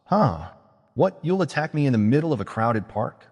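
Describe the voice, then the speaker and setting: A man's voice: medium pitch, big pitch swings, moderate pace, darkish tone, long reverb tail, voice pushed up. A man voicing a vain, playful witch woman who has been made young again, teasing and self-satisfied. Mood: smug.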